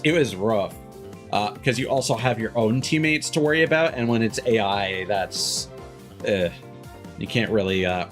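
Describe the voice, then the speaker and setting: A man chatting casually as he commentates a video game stream, rambling and often stopping to restart his sentences. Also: mid-range voice. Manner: rambling, self-interrupting, frequent stops and restarts. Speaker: live streamer.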